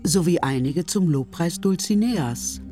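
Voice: medium pitch, expressive, measured pace, mellow. Radio play narrator with faint music.

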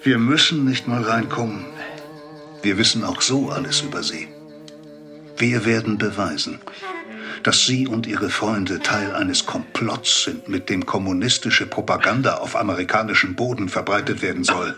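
An actor playing a coldly polite, menacing government agent in a radio drama. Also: male voice, fairly low pitch, normal speed, lightly acted. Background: music.

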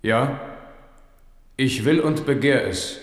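Ringing in a long reverb, a man plays a resolute king in a radio play. His medium-pitched voice declaims with formal solemnity. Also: slow, lightly acted. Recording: echoey hall with long reverb.

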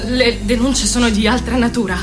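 High-pitched, gossipy actress as female chorus voice. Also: fairly even intonation, brisk, natural voice. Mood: insinuating. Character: gossipy female chorus member relaying rumours. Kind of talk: scripted radio theatre narration.

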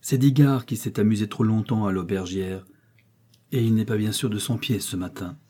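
A man's voice: lowish voice, moderate pace, dark tone, breathy edge. A man voicing an anxious, easily shaken mill hand in a storybook reading, with distressed, pleading delivery. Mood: embarrassed.

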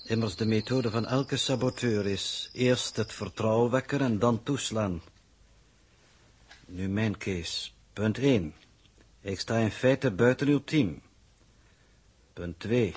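An actor playing an older, enigmatic and coolly logical space coordinator in a 1960s Dutch radio drama, formal and measured. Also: male voice, on the low side, husky.

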